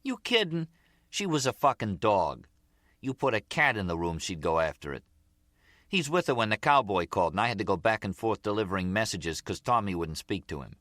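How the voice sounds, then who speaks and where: A man's voice: on the low side, sing-song, brisk, fairly bright tone, dry recording, studio quality. A man voicing an eager, resentful young mob underling in an audiobook, whiny and insistent, with street-tough grammar. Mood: complaining.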